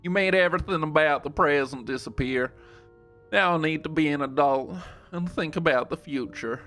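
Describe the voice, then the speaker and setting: A woman's voice: low voice, normal speed, a bit bright, soft background music. A man voicing a young Southern American woman who earnestly reads out a sorrowful farewell love letter. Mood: resigned.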